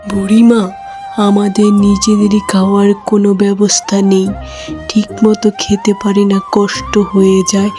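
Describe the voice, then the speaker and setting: A woman plays a poor, dutiful young-family mother in a village cartoon fairy tale. Her voice is soft and mellow with little rise and fall, earnest and respectful over faint music. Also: mid-range voice, completely flat delivery, normal speed, natural voice. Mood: gentle, apologetic.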